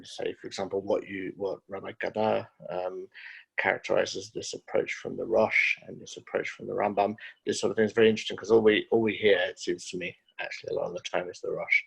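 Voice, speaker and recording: Man, gravelly low voice, husky, jovial and halting, video call. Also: poor audio. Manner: jovial, halting, many fillers. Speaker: class participant. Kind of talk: casual introduction.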